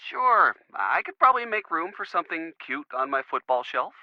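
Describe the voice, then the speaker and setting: A man's voice: fairly high pitch, big pitch swings, normal speed, dry recording. An actor playing a goofy, affectionate young man in a video game, talking casually and awkwardly as if over a phone. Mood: affable, joking. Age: young adult. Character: goofy, affectionate young male college boyfriend. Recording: muffled, band-limited phone call sound.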